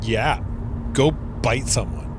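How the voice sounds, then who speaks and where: A man playing an excitable, goofy road-trip buddy in an audio drama, full of silly ideas. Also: mid-range voice, takes it slowly, noisy background. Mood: excited. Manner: eager, playful, easily excited.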